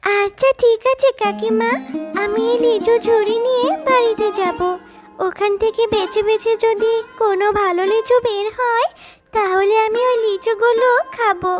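Tiny super high little girl cub voice, sweet n coaxing, kids cartoon, music behind. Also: steady tone, normal speed. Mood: eager, coaxing. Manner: childlike, coaxing. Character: sweet pleading little girl animal cub, poor and eager. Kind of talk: children's cartoon story dialogue.

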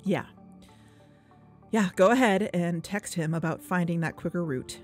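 A woman's voice: lowish voice, measured pace, soft background music, natural voice. A woman acting as an earnest, insistent podcast host in a conversational audio drama. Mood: worried.

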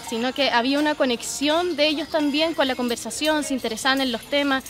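High-voiced young Chilean woman. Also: a bit bright. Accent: Chilean Spanish. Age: 20s. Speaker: programme content producer and co-host. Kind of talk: documentary interview testimony. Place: garden outdoors, seated interview.

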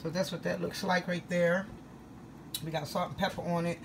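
A woman's voice: on the low side, level tone, normal speed, vocal fry, heavy background noise. A tired woman after a long workday, chatting casually as she walks through a home recipe, filming on a handheld phone in her kitchen. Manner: chatty, repeats "all right?" and "guys". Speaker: home cook vlogger. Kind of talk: casual cooking walkthrough. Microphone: phone held in hand.

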